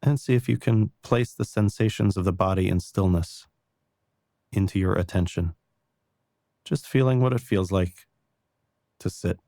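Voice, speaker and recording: A man guiding a meditation in a calm, gentle and unhurried way, reassuring the listener with soft spoken instructions. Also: sits low, dry recording, studio quality. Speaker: meditation guide.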